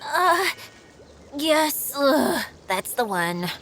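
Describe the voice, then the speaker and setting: A woman's voice: sits high, sing-song, very slow, very noisy, full character voice. A woman voicing a theatrical, easily deflated young female guide in a fantasy video game, showy and hesitant when flustered. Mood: deflated, indignant. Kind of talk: video game character acting.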